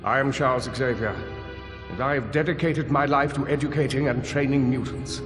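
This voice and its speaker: An actor playing a solemn, idealistic older mentor in a video game, narrating in a measured, formal, earnest way. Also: male voice, mid-range voice, fairly bright tone, faint music behind, natural voice. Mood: grave, earnest.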